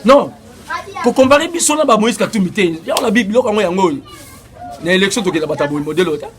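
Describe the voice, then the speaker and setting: A man with a Congolese French accent argues in a fairly high voice. He is insistent and argumentative, hesitating often and then pressing hard on his point. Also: animated, a little fast, a crowd in the background. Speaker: interviewee. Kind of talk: heated political debate.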